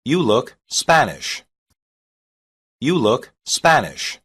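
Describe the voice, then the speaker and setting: A reader saying short English practice sentences slowly and evenly, each one twice, for a language lesson. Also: mid-range voice, very animated, fairly bright tone, husky. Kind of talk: slow repeated phrase reading.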